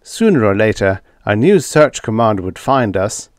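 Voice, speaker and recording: A man voicing a gaunt, tired starship captain giving a brisk, plain account. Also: fairly low pitch, very animated, no echo, clean studio sound. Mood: tense. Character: gaunt, weary starship captain, steady and determined.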